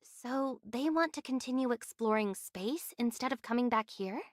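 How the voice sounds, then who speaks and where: Woman playing an earnest, high-voiced young heroine. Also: normal speed, dry recording, studio quality, natural voice. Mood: inquisitive. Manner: inquisitive, direct, earnest. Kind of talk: scripted anime game voice acting.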